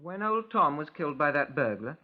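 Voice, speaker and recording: An actor playing a brash, protective young chauffeur in an old radio crime drama, blunt, indignant and challenging. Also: male voice, fairly high pitch, very animated, a little fast. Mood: defensive. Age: young adult. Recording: dull 1950s radio recording.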